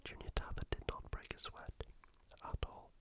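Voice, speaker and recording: ASMR sports recap host, full breathy whisper throughout, deliberate delivery with repeated phrases.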